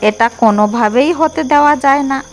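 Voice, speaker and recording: High-voiced, scheming bossy sister-in-law played by a woman. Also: brisk, natural voice. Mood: sly, scheming. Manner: bossy, conspiratorial, smug. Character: scheming, bossy elder sister-in-law, jealous and two-faced. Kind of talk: exaggerated cartoon fairy-tale dialogue. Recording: music behind the voice.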